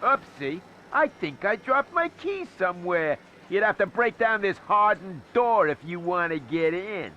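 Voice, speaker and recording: An actor playing a frantic, cornered drug dealer in a video game, taunting and whining with a creaky, sharp voice. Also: male voice, sits high, big pitch swings, a little slow, muffled recording, a little hiss or hum, exaggerated voice. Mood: mocking. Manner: taunting, whiny, stammering protest.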